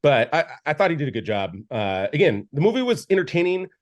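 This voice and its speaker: A man's voice: on the high side, big pitch swings, quick pace, lo-fi sound. A man co-hosting a podcast, giving a casual, rambling film review with lots of restarts and filler words. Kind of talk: casual chat.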